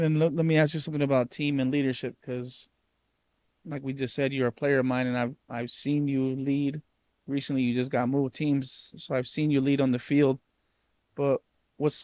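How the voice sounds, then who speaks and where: A man's voice: mid-range voice, level tone, normal speed, a little mellow. A man, a youth soccer coach hosting a podcast, asking questions and giving his player encouraging advice. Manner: encouraging, mentoring tone.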